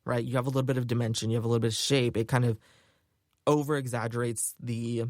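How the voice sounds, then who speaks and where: A man's voice: medium pitch, little pitch movement, fast, darkish tone, dry recording, clean studio sound. A man hosting a solo podcast, talking in a chatty, upbeat way with lots of filler words. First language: English. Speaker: podcast host. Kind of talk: casual solo podcast chat.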